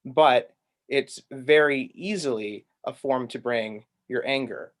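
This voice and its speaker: A man answering a question in an online panel, talking in a measured, searching way and often repeating words as he thinks. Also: medium pitch. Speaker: poet reading panelist. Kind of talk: thoughtful spoken answer in a panel Q&A.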